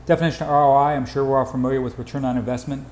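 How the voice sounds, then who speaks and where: Man presenting in an echoey room, mellow tone. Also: mid-range voice, flat delivery. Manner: hurried, clipped phrasing. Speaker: company sales presenter. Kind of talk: informal slide presentation pitch. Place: indoor room, voice-over for slides. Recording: roomy echo, faint background noise.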